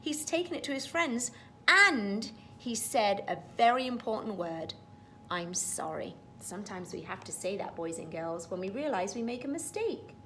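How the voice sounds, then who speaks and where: A woman reads aloud to young children as their teacher, pausing for simple explanations. Her voice is fairly high and swings widely in pitch, at an unhurried pace, over faint background rumble. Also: very animated, sharp tone. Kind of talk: storybook read-aloud with explanatory asides.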